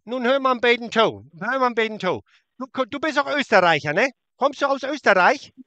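A man's voice: extremely high pitch, lively intonation, fast, sharp tone, dry recording, highs cut off. A man on a prank call, mocking and insulting a scammer and talking over him. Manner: taunting, insulting, talks over the other. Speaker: prank caller baiting a scammer.

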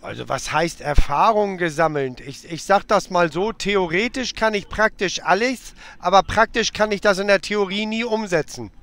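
A man making a prank phone call, answering in a deadpan, joking way and playing along. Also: sits high, normal speed, hardly any pauses. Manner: deadpan, jokey, plays along. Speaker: prank caller.

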